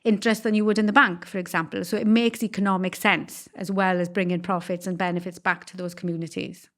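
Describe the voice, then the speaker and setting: A woman's voice: on the low side, level tone, fast talker. A woman, a business owner interviewed as a podcast guest, explaining things steadily, step by step, with examples. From Wales.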